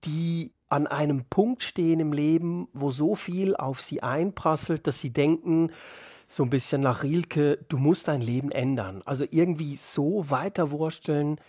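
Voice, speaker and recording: Husky-voiced man in a dry studio. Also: on the high side, measured pace, a little mellow, dry recording. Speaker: interviewed radio play author. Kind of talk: reflective literary interview talk.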